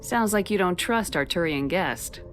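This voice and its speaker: An actress playing a composed, probing female military commander in a video game, measured and direct. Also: lowish voice, expressive, a little hiss or hum.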